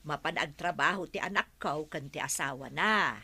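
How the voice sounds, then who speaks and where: A woman's voice: deep voice, normal speed, pushed and bright, breathy edge, heavily acted. A woman with an Ilocano accent playing a chatty, inquisitive older village neighbor in a radio drama.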